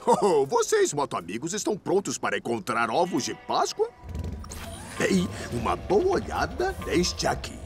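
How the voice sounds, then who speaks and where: A performer voicing a kindly, theatrical grown-up male motorcycle mentor in a children's cartoon, gravelly and instructing. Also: mid-range voice, huge pitch swings, measured pace. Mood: jovial explaining. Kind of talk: animated children's cartoon dub. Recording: music behind the voice.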